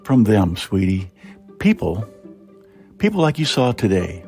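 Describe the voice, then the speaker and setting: A man voicing a worried, protective mother who speaks tenderly and gravely to her child in a short story. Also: fairly low pitch, big pitch swings, measured pace, warm tone, soft background music. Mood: tender, sad.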